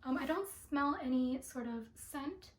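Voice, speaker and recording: High-voiced raspy young woman vlogging. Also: expressive, measured pace. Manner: chatty with many ums and likes. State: raspy from allergies. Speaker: beauty product reviewer. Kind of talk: casual product review vlog. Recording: echoey room.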